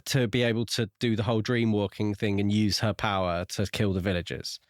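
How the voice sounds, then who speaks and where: A man's voice: lowish voice, flat delivery, brisk, no room sound, clean studio sound. A man co-hosting a podcast, talking casually about a book in a questioning, wryly critical way. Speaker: podcast co-host.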